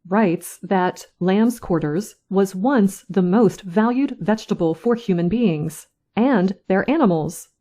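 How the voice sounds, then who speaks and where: Slow-reading woman news reader. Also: medium pitch, warm tone. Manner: slow, careful, clear delivery. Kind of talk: slow scripted reading for learners.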